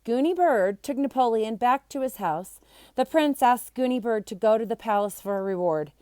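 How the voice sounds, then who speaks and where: A woman reading a children's chapter book aloud in an expressive, animated storytelling manner. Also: a little high, moderate pace, no room sound. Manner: animated, acts out character voices. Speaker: storybook reader.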